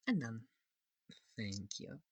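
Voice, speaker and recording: A polite, curious alien examiner, played by an ASMR performer, speaks softly and slowly right at the mic. The voice is husky with a gravelly creak. The delivery is hesitant and reassuring, like a gentle examination roleplay. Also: mid-range voice, very animated, no echo, heavily acted. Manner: soft-spoken, hesitant, reassuring. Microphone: close microphone.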